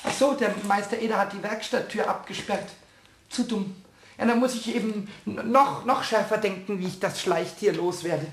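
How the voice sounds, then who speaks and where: A man playing a cheeky, childish little kobold in a German children's radio play, with a squeaky voice, boastful, easily offended and stammering when worked up. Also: moderate pace, reverberant, noisy background. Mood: grumbling, scheming.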